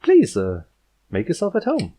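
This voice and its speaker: A man's voice: on the high side, huge pitch swings, unhurried, mellow, breathy edge, voice pushed up, full character voice. A man voicing a young female pony princess in a storybook, bookish, awkward and easily flustered. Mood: nervously welcoming.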